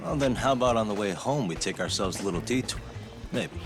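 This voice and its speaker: A jovial, blunt American ex-gangster played by a man from a video game. His low voice swings freely as he teases his partner, over clear background music. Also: animated, a little fast, natural voice. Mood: breezy. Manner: blunt, teasing, easygoing. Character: brash, jovial ex-gangster sidekick to a detective. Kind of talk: English game dub acting, casual banter.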